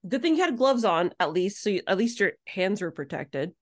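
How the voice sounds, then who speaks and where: A woman's voice: medium pitch, very animated, a little fast. A woman hosting a podcast in a casual, conversational interview style. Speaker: podcast host.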